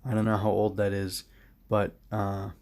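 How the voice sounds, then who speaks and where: A man's voice: on the low side, monotone, normal speed, darkish tone, no echo. A man giving a casual solo review as a podcast host, rambling, thinking aloud and correcting himself as he goes.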